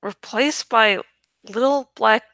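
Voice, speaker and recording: Bright, crisp woman reading fiction. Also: on the high side, slow, no room sound. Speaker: audiobook reader. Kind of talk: dramatic scripted narration of a young adult novel.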